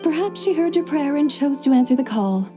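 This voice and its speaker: A woman voicing a composed, gently teasing young woman with a secret in a game cutscene. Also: high-pitched, animated, a little fast. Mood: serene. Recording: soft game music underneath.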